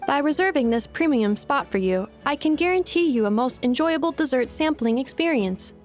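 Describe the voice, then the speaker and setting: High, precise robot assistant. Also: female voice, lively intonation, normal speed, a little mellow. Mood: composed, earnest. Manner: formal, precise, composed. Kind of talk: video game voice acting. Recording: music under the voice.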